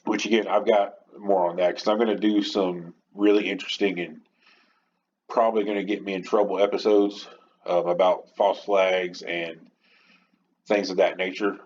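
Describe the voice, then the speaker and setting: A man's voice: low voice, little pitch movement, moderate pace, a little mellow, husky. A man hosting a podcast, giving a casual, rambling, opinionated monologue in an informal way. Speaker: podcast host. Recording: muffled lo-fi home recording, background noise.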